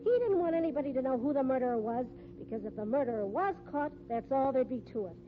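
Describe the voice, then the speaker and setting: A woman's voice: high-pitched, quick pace, natural voice. An actress playing a bold, shrewd circus sideshow woman in a 1950s radio mystery, scornful and slangy. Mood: explaining, insistent. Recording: muffled, noisy old radio recording.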